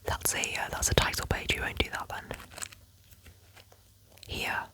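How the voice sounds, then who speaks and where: Sniffly woman whispering ASMR. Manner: soft whisper, repeats words slowly for trigger effect; chatty asides, lightly sarcastic. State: sniffly from a cold or hay fever. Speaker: ASMR creator.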